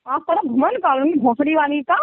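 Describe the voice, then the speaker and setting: Very high-pitched scolding woman on phone. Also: expressive, quick pace, dry recording. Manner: foul-mouthed, threatening, scolding. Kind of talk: heated argument on a phone call. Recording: tinny, narrow-band phone call recording.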